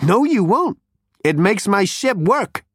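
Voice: medium pitch, sing-song, takes it slowly, a bit bright, breathy edge, pitched above the performer's own voice, full character voice. A narrator voicing a cocky, breezy male space rogue with a slangy, challenging manner in an audiobook. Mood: defiant.

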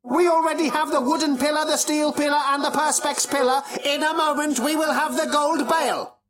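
A narrator voicing a solemn, patient, emotionless robot with a rasping, mechanical, deliberate delivery in an audiobook. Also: sits high, voice pushed up, close to an everyday voice. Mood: patient, menacing. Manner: slow, deliberate, flatly literal.

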